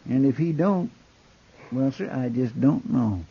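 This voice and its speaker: An actor playing an earnest, respectful, simple frontier sidekick with a rural American drawl, in a 1950s radio western. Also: male voice, mid-range voice, animated, measured pace, gravelly, husky, full character voice. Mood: earnest, folksy. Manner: folksy, respectful. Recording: dull, noisy 1950s radio recording.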